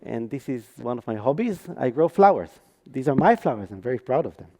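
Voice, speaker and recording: A man with an Israeli accent presents a talk on a conference stage. His voice sits in a middle pitch, and he pauses often with ums and small restarts as he explains his points to the audience. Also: big pitch swings, warm tone. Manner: hesitant, frequent ums and restarts. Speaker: conference speaker.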